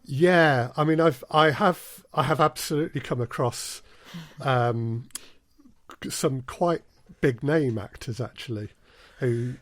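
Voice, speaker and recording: A man speaking as a podcast guest in a casual interview, explaining things in a measured, hesitant way with repeated phrases. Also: medium pitch, a bit bright, dry recording. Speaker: podcast guest, audio drama producer and casting director.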